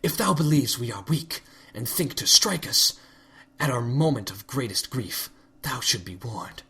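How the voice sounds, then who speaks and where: A man's voice: medium pitch, expressive, measured pace, sharp tone. A man voicing a regal, furious elder winged pony princess who speaks in archaic, commanding formal speech. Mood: imperious.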